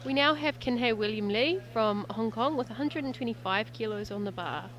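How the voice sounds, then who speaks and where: A woman's voice: fairly high pitch, moderate pace. A native English-speaking woman doing live sports commentary, chatty and lightly joking.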